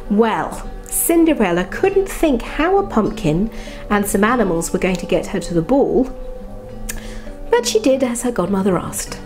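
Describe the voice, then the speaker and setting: A woman reads a bedtime story in a soft, expressive storyteller's voice at a middle pitch. She slips into chatty little asides as she goes. Music plays clearly behind her. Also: measured pace.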